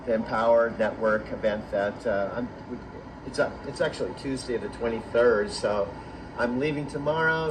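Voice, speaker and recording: A man's voice: medium pitch, background noise. Man filming on a city balcony. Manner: slow, chatty introducing guests. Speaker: event attendee vlogging. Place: outdoor condo balcony in a city.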